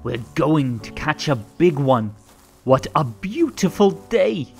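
A man reading a children's story aloud in a theatrical, rhythmic and expressive way. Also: a little high, takes it slowly, creaky. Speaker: storybook narrator. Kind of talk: animated children's read-aloud. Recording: music under the voice. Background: music.

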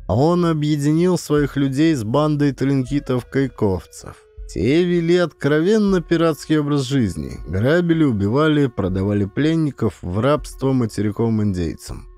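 A man narrating an adventure audiobook as a scripted story, with expressive, emphatic delivery. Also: medium pitch. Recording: music behind the voice. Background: music.

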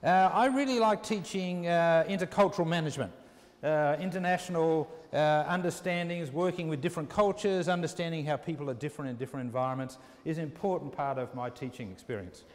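A middle-aged man with an Australian accent giving measured, unscripted interview answers with some hesitant phrasing. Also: a little high. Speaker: business school dean, interviewee. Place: walking through a university building. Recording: echoey indoor hallway.

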